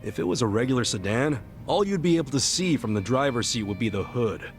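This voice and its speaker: An actor voicing a street-smart, skeptical sidekick in a video game, casual, blunt and wry. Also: male voice, mid-range voice, lively intonation, fairly quick, faint music behind, lightly acted. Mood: wry, challenging. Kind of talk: video game cutscene dialogue.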